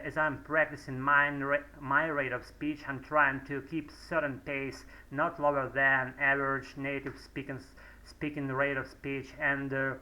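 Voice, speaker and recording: A Russian-speaking man talking in English at home, his voice very bright, sharp and tense. He rambles in a middle pitch, stopping often on fillers and restarting his sentences. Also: rough recording. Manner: hesitant, many fillers and restarts. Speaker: English learner vlogger. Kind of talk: rambling monologue practicing spoken English. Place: home room, indoors.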